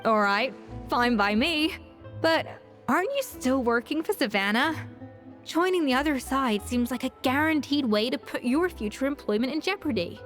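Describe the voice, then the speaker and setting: A proud young female master chef in an anime game. Her voice is fairly high, composed and articulate, with earnest, measured phrasing and light music behind it. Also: expressive, fairly bright tone. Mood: wary.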